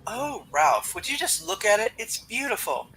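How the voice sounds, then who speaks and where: Man as high-pitched, long-suffering wife. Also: very animated, moderate pace, bright, forceful tone, a little hiss or hum, heavily acted, poor audio. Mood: delighted. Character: wife, admiring then exasperated with her joking husband. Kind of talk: amateur comic book dramatic reading.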